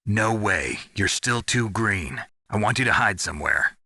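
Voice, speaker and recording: Video game lead: hard-bitten veteran commando, low, husky, forceful, terse and deadpan. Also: male voice, measured pace, no echo, clean studio sound, exaggerated voice. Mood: grim, businesslike. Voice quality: gravelly, husky. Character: gruff, world-weary veteran male special forces soldier. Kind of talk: dramatic video game voice acting.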